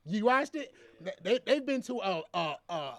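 A man hosting a podcast in casual, slangy chat, excitable and often repeating himself. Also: sits high, moderate pace. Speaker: podcast host.

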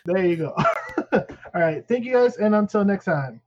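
A man co-hosts a podcast, English not his first language, wandering through plugs and updates with plenty of "um" and restarts. His voice sits a bit high, and the recording sounds dull and lo-fi. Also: animated, measured pace, darkish tone. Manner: rambling, many fillers and restarts. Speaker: podcast co-host. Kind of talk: casual podcast chat.

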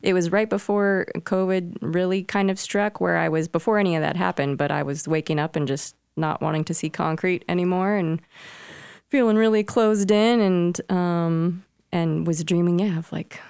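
A woman's voice: on the low side, normal speed, creaky, a little mellow, clean tone, no echo, clean studio sound. An American woman from Colorado chatting casually as a guest, laid-back and self-effacing. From Denver, Colorado. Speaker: guest singer-songwriter. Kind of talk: casual chat. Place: music studio.

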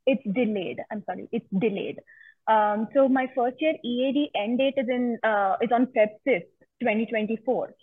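A woman's voice: on the high side, moderate pace, slightly rough. A young woman calling for advice, carefully and politely explaining her case with some hesitation. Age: young adult. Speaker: caller seeking legal advice. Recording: muffled, phone-like line.

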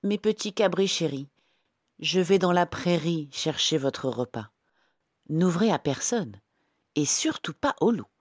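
A woman's voice: low voice, breathy edge, dry recording, clean studio sound, pitched above the performer's own voice, heavily acted. A woman voicing a tender, cautioning mother goat in a storybook, doting and careful.

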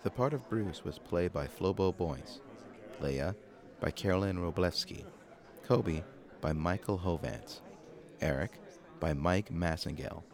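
A man's voice: sits low, expressive, a little slow, vocal fry, a little mellow. A man reading scripted cast credits as an announcer. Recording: crowd noise behind the voice.

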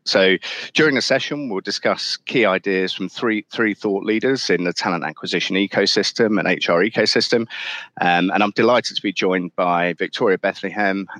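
A man's voice: low voice, moderate pace, a bit bright, dry recording. A man hosting a panel podcast, introducing guests politely with frequent "um" fillers. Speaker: podcast host, moderator.

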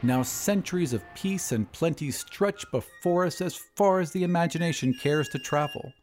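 A man's voice: fairly high pitch, moderate pace, over music, pitched above the performer's own voice, close to an everyday voice. A man voicing an elderly, grandly optimistic doctor who speaks in expansive speeches, in an audio drama. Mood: grandly enthusiastic. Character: grandly optimistic elderly male doctor, two centuries old.

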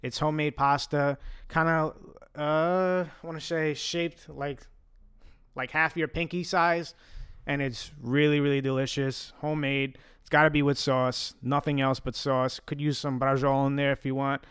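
A man's voice: on the high side, fairly even intonation, normal speed, a bit bright, clean tone, no room sound, clean studio sound. Chatty young man with a slight stutter. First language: Italian. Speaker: host answering fan questions. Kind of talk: casual Q&A chat.

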